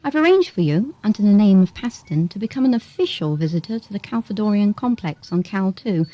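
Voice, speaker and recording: A woman playing a brisk, sardonic space station doctor in an audio drama, dry and assured. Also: mid-range voice, animated, warm tone, lo-fi sound, close to an everyday voice. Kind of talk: radio drama acting. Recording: hissy amateur 1980s audio drama tape.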